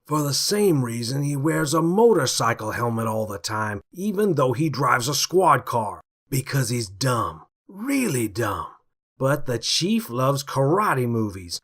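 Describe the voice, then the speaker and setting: A man's voice: medium pitch, sing-song, a little slow, a bit bright, breathy edge, exaggerated voice. A man voicing a weary, put-upon alpaca police officer in a read-aloud story, talking in a dry, exasperated way. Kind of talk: storybook character voice.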